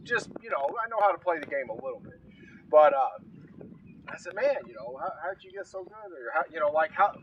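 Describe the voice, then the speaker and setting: A man's voice: a little high, expressive, fairly quick, distant mic, highs cut off, a little hiss or hum. A man hosting a fishing vlog, chatting in a laid-back way and chiming in, outdoors on a riverbank. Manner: laid-back, chiming in with short agreeing remarks. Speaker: vlogger, host. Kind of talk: casual chat.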